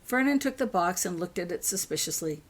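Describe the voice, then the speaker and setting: A woman's voice: fairly low pitch, lively intonation, brisk. A woman narrating scripted fiction as an author reading her own story.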